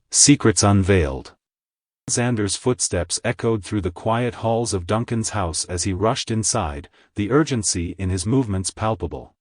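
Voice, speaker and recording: A man's voice: on the low side, no echo, clean studio sound. A man reading scripted story narration as a storyteller.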